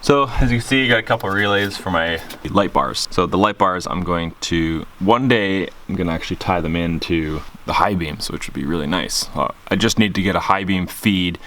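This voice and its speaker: A man's voice: low-pitched, fairly quick, pushed and bright, a little hiss or hum. A man giving a casual, rambling hands-on walkthrough as a vlogger, explaining things informally with plenty of slang. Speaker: vlogger showing his truck radio install. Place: in and around a parked truck.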